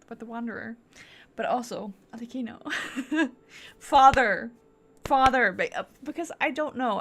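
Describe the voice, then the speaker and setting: A woman's voice: mid-range voice, fairly bright tone, slight background noise. A woman chatting casually as she streams a game, thinking aloud and correcting herself in a playful, rambling way. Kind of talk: casual livestream chat while gaming.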